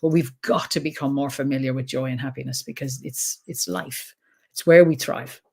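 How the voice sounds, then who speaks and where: A woman with an Irish accent, a trauma expert talking as a guest in an interview, blunt and animated, swearing freely. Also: very deep voice, normal speed, gravelly, slightly rough. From Ireland. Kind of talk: podcast interview conversation.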